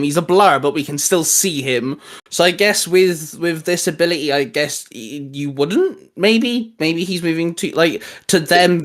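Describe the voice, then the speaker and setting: Male host for a casual geek chat, slightly high voice, rambling and hesitant delivery. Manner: hesitant, rambling, repeats himself. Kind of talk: casual fan discussion chat.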